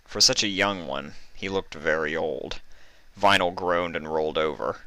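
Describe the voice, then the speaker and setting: A man's voice: low-pitched, moderate pace, sharp tone, no echo. A man reading a story aloud as narrator of fan fiction, in scripted narration.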